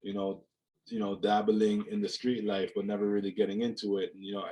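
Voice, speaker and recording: A young man with a fairly low voice talks over a video call from a room at home. He is from Jamaica and speaks thoughtfully, circling back with "you know" and restarting his words as he works through an idea. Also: flat delivery, brisk, mellow, rough recording. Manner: reflective, many "you know" fillers and repeated words. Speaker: guest, mental health speaker. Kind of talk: casual interview conversation.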